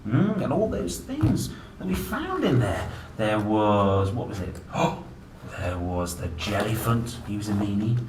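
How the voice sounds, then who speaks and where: A man's voice: on the low side, big pitch swings, measured pace. A man reading a picture book aloud to young children in a playful, theatrical way, exclaiming and putting questions to the listener. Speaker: children's book reader and host. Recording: roomy, distant mic, clearly noisy.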